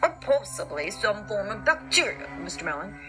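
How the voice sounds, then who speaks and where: A woman voicing a puzzled, conspiratorial scientific expert in a storybook, pondering in hushed tones. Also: mid-range voice, sing-song, normal speed, music in the background, lightly acted.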